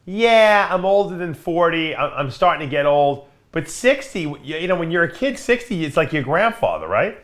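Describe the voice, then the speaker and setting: A man's voice: fairly high pitch, moderate pace, few pauses. A man hosting a casual podcast chat, joking and acting out quotes. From New York. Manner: animated, joking, acts out quotes. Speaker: podcast host.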